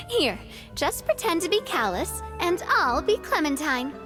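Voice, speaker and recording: A woman's voice: sits high, sing-song, unhurried, over music. A woman playing a spirited, confident young woman who leads an organization in a video game. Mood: playful.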